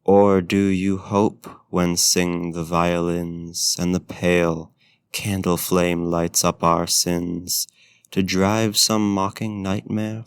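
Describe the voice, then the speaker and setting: Courtly, seductive male vampire played by a man for ASMR roleplay: low register, unhurried, genteel and literary. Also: dry recording, studio quality. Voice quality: smooth, deep. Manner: formal, genteel, theatrical phrasing. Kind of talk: ASMR roleplay, intimate talk and poetry reading.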